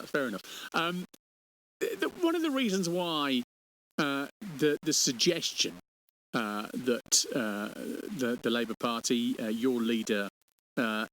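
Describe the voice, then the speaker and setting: A man's voice: mid-range voice, a bit bright, a little hiss or hum, rough recording. A man interviewing on radio about politics, challenging his guest with hesitant phrasing and tag questions.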